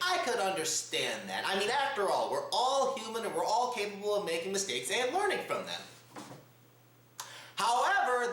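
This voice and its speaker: A man delivers mock news commentary as the host of a satirical report. His voice is bright and forceful, with a lively, theatrical rise and fall. He addresses the audience formally, and the room around him is very echoey. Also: high voice.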